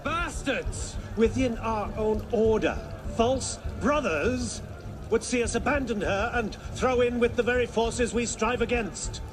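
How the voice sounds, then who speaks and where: A commanding zealot leader from a video game, high in pitch and very measured in pace. He declaims with solemn fervour and bitterness, like an orator before his followers. Music plays clearly behind him. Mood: outraged. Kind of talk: theatrical game speech to followers.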